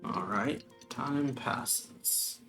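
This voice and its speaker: A man's voice: mid-range voice, very slow, creaky. A man giving casual let's play commentary, muttering short reactions and thinking aloud. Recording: game music behind the voice.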